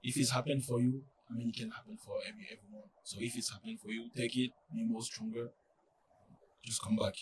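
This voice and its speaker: Young man, French accent, non-native English, halting, lots of fillers, distant mic, faint noise. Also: medium pitch. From France. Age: young adult. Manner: halting, many fillers and restarts. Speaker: college soccer player, guest. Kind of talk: casual interview.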